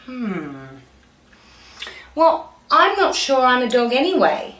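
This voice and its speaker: A woman's voice: high voice, wildly swooping pitch, takes it slowly, a bit bright, voice pushed up, heavily acted. A woman voicing an earnest, unsure male fox in a children's storybook, politely questioning. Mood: hopeful, puzzled. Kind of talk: children's storybook read-aloud. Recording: echoey room.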